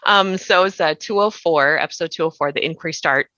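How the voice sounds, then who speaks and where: A woman's voice: deep voice, brisk, pushed and bright, no room sound. A woman hosts a podcast, interviewing in a casual, discursive way full of conversational asides. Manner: discursive, peppers speech with "you know". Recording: dull, lo-fi dry audio.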